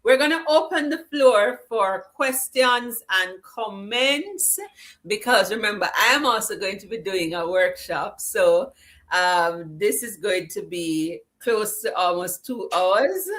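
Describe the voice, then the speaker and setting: A woman's voice: mid-range voice, expressive, slow pace, a bit bright. A woman hosting a live online summit over a video call, cheering on her viewers and reading their chat comments aloud. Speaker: summit host.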